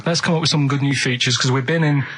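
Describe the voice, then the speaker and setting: A man with a slightly rough voice at a middling pitch. He chats casually as a radio co-host, stubborn and matter-of-fact, delivering everything deadpan. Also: fast.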